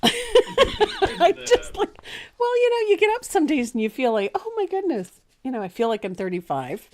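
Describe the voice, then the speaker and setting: A woman's voice: very high voice, big pitch swings, normal speed, a little mellow. A woman in her sixties teaching a painting lesson in a casual, chatty way, laughing often and joking about herself. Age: 60s.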